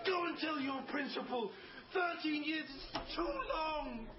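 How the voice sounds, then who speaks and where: An actor playing a grandiose, excitable travelling showman and father, with a flowery, pompous way of talking, in a radio drama. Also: very high-pitched, unhurried, heavy background noise. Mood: desperate fury. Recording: dull lo-fi broadcast, crowd behind.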